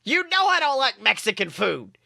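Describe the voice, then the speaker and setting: A man acts out a villainous nine-year-old boy from a cartoon-based story. The voice is very high and sharp, swooping with petulant indignation and theatrical hunger for revenge. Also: wildly swooping pitch, moderate pace, gravelly, no room sound, clean studio sound, full character voice. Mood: petulant disgust.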